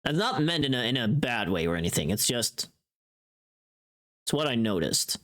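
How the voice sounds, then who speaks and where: Low-voiced woman streamer, animated and playful. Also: moderate pace. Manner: playful, teasing, informal with swearing. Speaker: livestreamer. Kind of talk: casual livestream chat.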